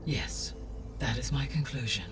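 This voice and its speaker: An actor voicing a calm, formal artificial intelligence in a video game, precise and measured in his explanations. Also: mid-range voice, recorded from a distance, lightly acted. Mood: calm, factual. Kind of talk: video game dialogue, expository. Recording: music playing behind the voice.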